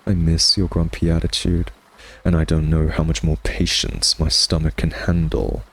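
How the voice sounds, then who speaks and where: A man's voice: extremely low pitch, little pitch movement, moderate pace, fairly bright tone, breathy edge, full character voice. A man playing a smug, hungry vampire who teases and taunts sardonically in an intimate ASMR roleplay monologue. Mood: hungry, impatient. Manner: sardonic, taunting, drawling, theatrical. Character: smug, teasing, hungry male vampire nemesis.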